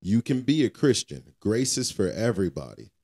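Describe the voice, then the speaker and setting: A man with a deep, dark and relaxed voice, co-hosting a podcast. He talks informally and colloquially, with an earnest preacher's streak in casual conversation. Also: moderate pace. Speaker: podcast co-host. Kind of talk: casual faith podcast chat.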